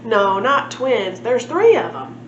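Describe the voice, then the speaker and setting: A woman acts out a pair of rude, childish twin noblemen from a storybook. The speech is cheeky, bickering and ungrammatical, pitched fairly high, in an echoey room with clear background noise. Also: sing-song, takes it slowly, highs cut off. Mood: cheeky, muttering.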